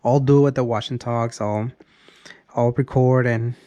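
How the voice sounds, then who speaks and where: A man's voice: mid-range voice, fairly even intonation, moderate pace, dark tone, no echo. A man in his early thirties talking in a casual, rambling vlog style, often repeating and restarting his phrases. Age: early 30s. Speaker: car vlogger.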